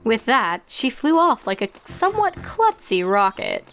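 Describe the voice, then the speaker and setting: A woman's voice: fairly high pitch, big pitch swings, measured pace. A woman reading fan fiction aloud as scripted storybook narration, with music behind.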